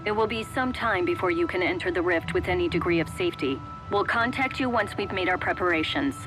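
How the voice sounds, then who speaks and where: A woman's voice: fairly low pitch, expressive, a little fast, over music, lightly acted. An actress playing a formal, official-sounding female company agent in a video game. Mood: businesslike. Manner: clipped, official.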